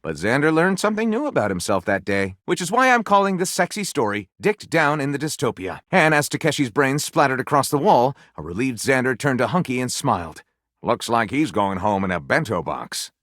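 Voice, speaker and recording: An actor playing a theatrical, excitable storyteller in a game, narrating in a dramatic, tongue-in-cheek storybook style that moves between mock solemnity and gushing enthusiasm. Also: male voice, mid-range voice, a little fast, no echo, studio quality. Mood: grandiose, wry. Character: theatrical, excitable male storyteller, a datable book-loving narrator character.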